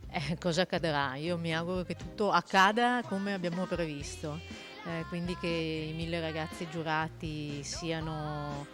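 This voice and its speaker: A woman who directs a film festival, giving interview answers in hesitant, halting phrases. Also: deep voice, steady tone. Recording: music playing behind the voice.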